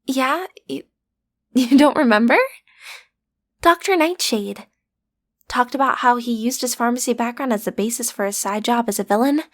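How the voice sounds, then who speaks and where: A cute, high-pitched teenage girl, acted by a woman, plays at being a supervillain in a roleplay drama. She is sassy and theatrical, speaking straight to her listener. Her menace is playful and rough around the edges, with an awkward, hesitant streak. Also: normal speed, long pauses, close to an everyday voice. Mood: proud, explaining. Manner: theatrical, sassy, hesitant when breaking character.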